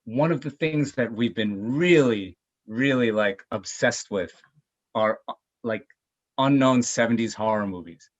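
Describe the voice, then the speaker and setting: A man's voice: medium pitch, unhurried, muffled recording. A man musician being interviewed, talking in a laid-back, hesitant way with ums. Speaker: band bassist, interview guest. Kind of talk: casual interview chat.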